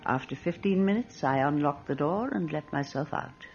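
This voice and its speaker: An older woman acting in an old radio horror drama as a wealthy, genteel old lady, haughty and ominous, speaking in a deliberate, theatrical, teasingly formal way. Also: deep voice, expressive, darkish tone, soft background music. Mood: grave, haughty. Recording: dull lo-fi old radio recording.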